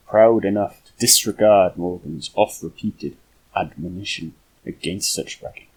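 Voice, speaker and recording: A man with a husky voice reading a historical novel aloud as scripted narration. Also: sits low, darkish tone, a little hiss or hum, rough recording. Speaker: amateur audiobook reader.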